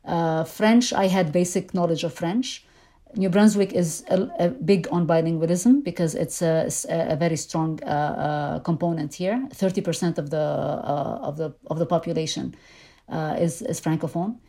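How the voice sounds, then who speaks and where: A woman's voice: deep voice, fairly even intonation, normal speed, mellow. A middle-aged woman whose first language is Arabic, answering interview questions thoughtfully with frequent fillers and restarts. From Lebanon. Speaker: interview guest, immigrant. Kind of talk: conversational interview.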